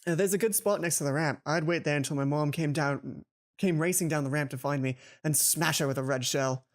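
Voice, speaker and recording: A woman's voice: low-pitched, a little fast, pitched below the performer's own voice, close to an everyday voice. A woman voicing an eager but nervous teenage schoolgirl in a visual novel, hesitant and polite. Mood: chatty.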